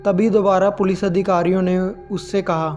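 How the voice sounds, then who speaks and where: Casting: male horror narrator with a high-set voice and very even delivery. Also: normal speed, faint music behind. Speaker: horror story narrator and channel host. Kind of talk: scripted narration of horror stories.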